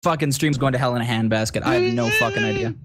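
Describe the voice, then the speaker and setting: A man's voice: mid-range voice, fast talker, background noise. A man on voice chat making crude, profane joking rants at his friends. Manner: crude, swearing, mock-ranting jokes. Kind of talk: casual gaming voice chat.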